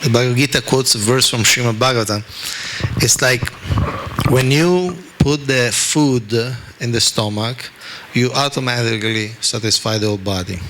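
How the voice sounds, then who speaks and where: Italian-accented man giving a bright, lively scripture talk. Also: mid-range voice, moderate pace, slight background noise, rough recording. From Italy. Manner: animated, self-deprecating, frequent "okay?" asides. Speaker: spiritual teacher.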